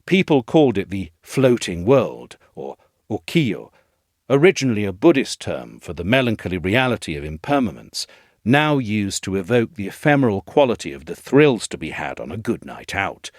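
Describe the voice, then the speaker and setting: Low-voiced man narrating, expressively intoned. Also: very animated, normal speed, no echo, studio quality. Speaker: essay narrator, reader. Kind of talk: scripted audio essay narration.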